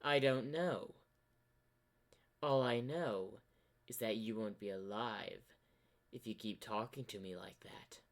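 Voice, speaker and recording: A man voicing a sinister corrupted double of a zebra hero, smug and menacing, with theatrical, taunting, drawn-out phrasing. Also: fairly low pitch. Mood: threatening.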